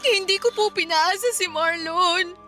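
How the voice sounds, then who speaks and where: A woman's voice: very high voice, unhurried, bright, forceful tone, soft background music, exaggerated voice. An actress playing an apologetic young woman in a Tagalog radio drama, meek, tearful and pleading. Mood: meek, defensive.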